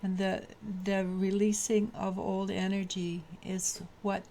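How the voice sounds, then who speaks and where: Woman tarot reader, low mellow voice, flat delivery, halting. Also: slight background noise. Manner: halting, many restarts and fillers. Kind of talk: daily tarot reading talk.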